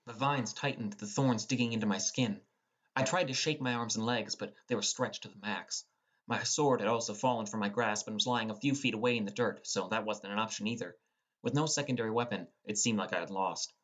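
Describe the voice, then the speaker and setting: A man's voice: fairly low pitch, a little fast, a bit bright. A young man reading scripted first-person fantasy narration as a dramatic storyteller. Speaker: audiobook narrator.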